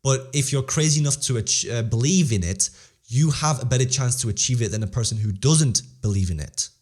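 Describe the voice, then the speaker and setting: A man's voice: medium pitch, lively intonation, a little fast, darkish tone. A teenage boy, an interview guest, talking earnestly and motivationally in a casual way, often repeating phrases and restarting sentences. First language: Polish-English bilingual, English stronger. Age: teens.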